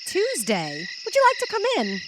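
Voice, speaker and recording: A hopeful small-town sweetheart in a radio drama. Her high, girlish voice lilts widely, gentle and sweet. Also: huge pitch swings, moderate pace, background noise. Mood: shy, hopeful. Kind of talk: live radio play acting.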